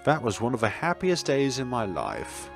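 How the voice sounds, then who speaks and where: A man's voice: mid-range voice, huge pitch swings, normal speed, vocal fry. A man reading a visual novel aloud for a gaming video, dropping in casual, musing side remarks. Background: game music.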